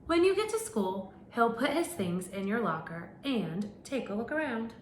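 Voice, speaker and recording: A woman teacher reads a picture book aloud to young children and stops to ask them questions. Her voice rises and falls with a lot of lively movement. The room is echoey, with a faint steady hum behind her. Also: a little high, moderate pace. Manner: animated storytelling with questions to children.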